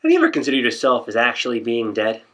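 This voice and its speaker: A man performing a comic theatrical monologue as a neurotic, morbid muser, rambling in an excitable way. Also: mid-range voice, quick pace. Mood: morbid musing. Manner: excitable, rambling, escalating to shouting. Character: neurotic, morbidly musing man working himself frantic.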